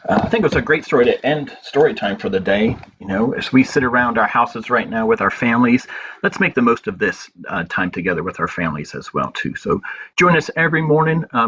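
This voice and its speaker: A man hosting a storytime as a librarian, reading picture books aloud in measured phrases with pauses and informal asides. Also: medium pitch, fairly bright tone. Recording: dull lo-fi audio with clear background noise.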